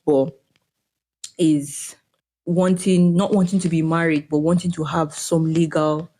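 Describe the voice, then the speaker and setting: A woman's voice: low-pitched, steady tone, unhurried, warm tone. A young Nigerian woman co-hosting a podcast, chatting in a reflective, wry way with a Nigerian accent and bits of slang. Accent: Nigerian English. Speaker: podcast co-host. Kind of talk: casual chat.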